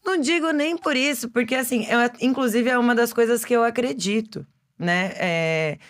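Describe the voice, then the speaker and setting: A Brazilian woman hosts a relaxed podcast conversation in a fairly high voice. She talks emphatically and keeps stacking fillers and restarts as she builds her point. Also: moderate pace. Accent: Brazilian Portuguese. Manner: chatty, filler-heavy, emphatic. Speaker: podcast host. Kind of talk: casual interview chat.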